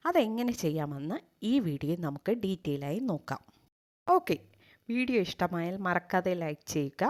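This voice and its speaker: A woman with a Malayali accent, hosting a tech tutorial and narrating scripted step-by-step instructions. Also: lowish voice.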